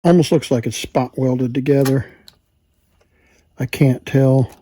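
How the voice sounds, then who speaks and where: A husky-voiced man thinking aloud in a casual, halting mutter as he hosts a hands-on repair video. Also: medium pitch, steady tone, mellow, a little hiss or hum. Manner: halting, muttering to himself while working. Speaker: repair hobbyist and video host.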